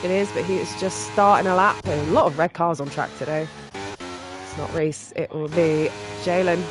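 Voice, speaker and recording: A live sim racing commentator with a stuffy cold, reacting quickly and excitedly to lap times. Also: medium pitch, background noise. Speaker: race commentator.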